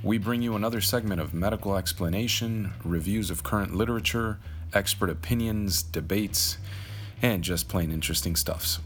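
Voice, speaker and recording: A man's voice: lowish voice, normal speed, slight background noise. A man reading a formal scripted welcome that opens a podcast. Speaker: podcast intro announcer. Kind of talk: scripted announcement. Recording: music bed behind the voice.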